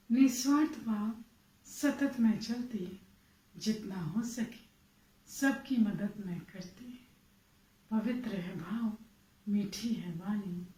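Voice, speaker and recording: A woman from Bengal hosting an event, introducing speakers in slow rhyming verse. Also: medium pitch, mellow. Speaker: event host. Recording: roomy sound from a distance.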